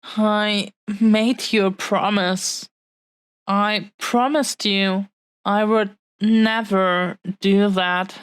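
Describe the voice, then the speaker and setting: A woman playing a possessive, adoring dragon-blooded fiancée in an intimate audio roleplay, speaking in earnest, halting, heartfelt phrases. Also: mid-range voice, fairly even intonation, smooth, no echo. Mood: remorseful.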